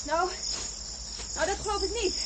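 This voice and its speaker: A woman's voice: very high voice, moderate pace. A woman playing an alert, urging astronaut in the jungle in a Dutch radio drama. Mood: alert, urgent. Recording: loud jungle animal and insect noise.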